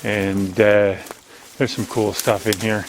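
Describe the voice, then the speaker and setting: A man with a strong, loud voice hosting a walking video outdoors on an overgrown logging road, chatty and rambling as he narrates, recorded on a handheld camera. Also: lowish voice, flat delivery, slow, a little hiss or hum. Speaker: channel host. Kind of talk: casual walking vlog narration. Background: faint outdoor nature ambience.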